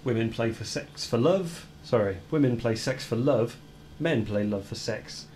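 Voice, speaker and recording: Very low-voiced deadpan woman, acting. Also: measured pace, a little hiss or hum. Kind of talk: radio play monologue.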